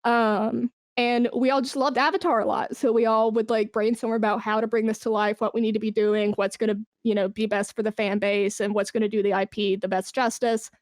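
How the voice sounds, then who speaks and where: Interview guest: woman with a fairly high voice, enthusiastic, informal, conversational, recorded very dry. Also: little pitch movement, a little fast, few pauses, no echo. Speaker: interview guest, esports brand manager. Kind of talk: casual interview chat.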